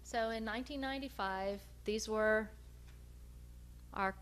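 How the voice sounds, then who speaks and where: A woman researcher giving a research presentation, speaking in a measured, hesitant way with frequent pauses. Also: mid-range voice, fairly bright tone, clean tone. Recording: noticeable background hum and noise.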